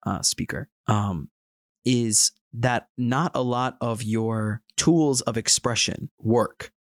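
A man hosting a podcast, talking in a relaxed, thoughtful way and explaining with examples. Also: medium pitch, takes it slowly, dry recording, clean studio sound. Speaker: podcast host. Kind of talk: casual podcast chat.

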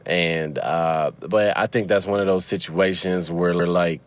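Man, chicago accent, lowish voice, podcast host, rambling, profane, laughs a lot, talking from his car. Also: fairly even intonation, a little slow. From Chicago, USA. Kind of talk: casual solo podcast monologue.

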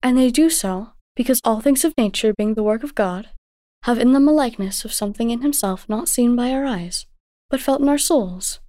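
Storybook mother, played by a woman: gentle and devout, measured teaching manner, raised pitch, dry recording. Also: no room sound, natural voice. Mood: patient, instructive. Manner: tender, measured, instructive.

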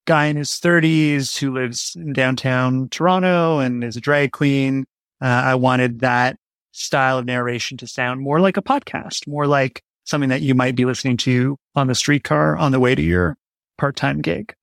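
Man with a gravelly edge, explaining. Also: mid-range voice, normal speed, a bit bright, dry recording, studio quality. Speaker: audio drama writer, interview guest. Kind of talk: conversational interview.

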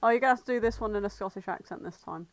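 A woman's voice: mid-range voice, very fast, dry recording. A woman co-hosting a comedy podcast in casual banter, blunt, teasing and sweary. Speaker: podcast co-host.

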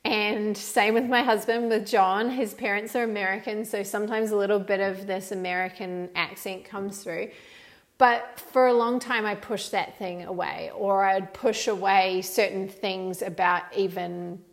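Female podcast host, Kiwi-Aussie accent, expressive, a little gravelly. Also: medium pitch, measured pace. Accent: New Zealand with some Australian. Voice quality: animated, emphatic. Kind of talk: solo podcast talk.

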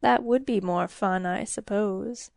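Woman voicing a slow, hissing little storybook demon. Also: medium pitch, a little mellow, no room sound, studio quality. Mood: mocking, grudging. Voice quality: crackling, hissing, breathy whisper. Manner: slow, drawn-out, menacing. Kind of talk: audiobook fairy-tale character voice.

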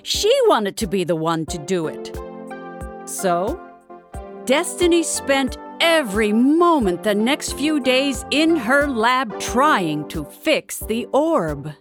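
Woman narrator, animated intonation, unhurried pace, dramatic storybook style, music behind. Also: a little high. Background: background music.

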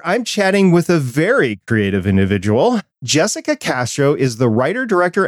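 A man's voice: medium pitch, no echo. Male podcast host, close dry sound. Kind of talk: conversational podcast hosting with announcements.

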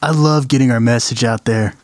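A man voicing a hyped young bassist in a pacifist metal band, talking like an excited bro. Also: a little fast, dry recording, studio quality, natural voice. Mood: pumped. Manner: pumped, bro-ish.

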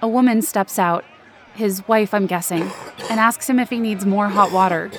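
A woman's voice: medium pitch, moderate pace, voice pushed down, lightly acted. A woman playing an anxious, desperate woman who narrates her own ordeal urgently in an audio drama. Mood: uneasy, watchful. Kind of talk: first-person present-tense audio drama narration. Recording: noisy outdoor crowd sound effects behind.

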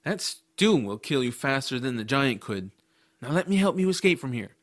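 A man's voice: mid-range voice, big pitch swings, normal speed, no echo, natural voice. Audiobook lead, male actor: sincere young hero, first-person storyteller, medium register, dry studio sound. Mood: determined. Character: earnest, kind-hearted adventurous boy narrating his story.

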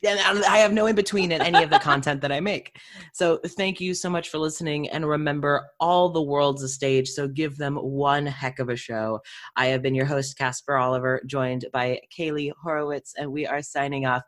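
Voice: mid-range voice, fairly even intonation, normal speed, fairly bright tone. A podcast host with a chatty, informal way of talking, speaking casually to guest and listeners. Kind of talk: casual podcast hosting and sign-off.

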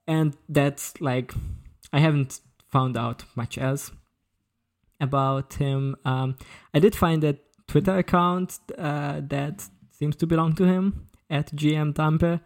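Creaky-voiced man presenting on a podcast. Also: a little high, steady tone, slow pace. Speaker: podcast panelist presenting a story. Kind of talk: casual presentation with read-out excerpts.

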